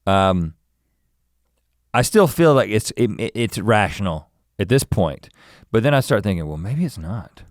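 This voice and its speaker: Reflective man in his forties, low voice. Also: very animated, dry recording, studio quality. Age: 40s. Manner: reflective, musing, halting restarts. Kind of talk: casual chat.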